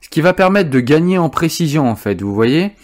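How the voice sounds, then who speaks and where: A man presenting an informal software tutorial, explaining things step by step as he demonstrates them. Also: fairly high pitch, sing-song, brisk.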